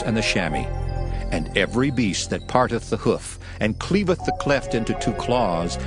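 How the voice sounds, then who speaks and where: A man playing a solemn lawgiver preaching commandments, declaiming in a dramatized scripture reading with music behind him. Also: fairly low pitch. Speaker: scripture narrator.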